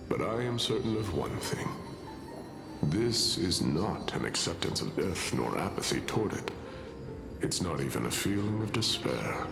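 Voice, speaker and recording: An actor playing a weary, noble warrior in a fantasy game, gravelly and solemn, speaking grandly and serenely about his end. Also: full character voice. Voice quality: low, gravelly. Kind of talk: dramatic fantasy game voice acting. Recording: echoey voice over prominent music.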